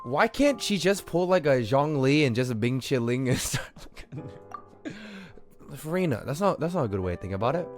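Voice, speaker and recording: Animated young man streaming a game. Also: medium pitch, moderate pace, soft background music. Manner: slangy, expressive, exclamatory. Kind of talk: casual live stream commentary.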